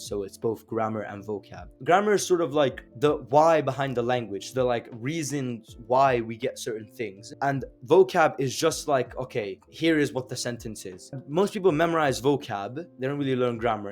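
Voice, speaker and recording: A young man whose first language is Azeri, explaining language learning in a casual, chatty way with fillers and rhetorical questions. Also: mid-range voice. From Azerbaijan. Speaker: language teacher. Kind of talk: casual explanatory video lesson.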